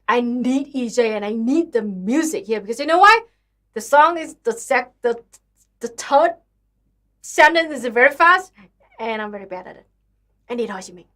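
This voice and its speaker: A woman's voice: very high-pitched, fairly bright tone. A young woman with a Vietnamese accent, chatting casually and apologetically on a livestream, sounding tired. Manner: chatty, apologetic, self-deprecating. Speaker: livestream host. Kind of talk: casual livestream chat with singing.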